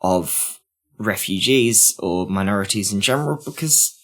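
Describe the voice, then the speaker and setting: Slow, emphatic man with swooping pitch. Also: expressive. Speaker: podcast co-host. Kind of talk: casual critical discussion.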